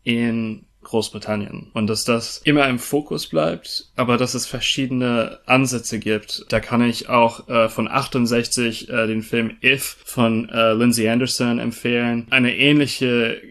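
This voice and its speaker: A man's voice: mid-range voice, steady tone, unhurried, few pauses, fairly bright tone. A man in his thirties co-hosting a casual film podcast, speaking hesitantly with many ums and uhs. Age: 30s. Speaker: podcast co-host. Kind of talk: casual film review chat.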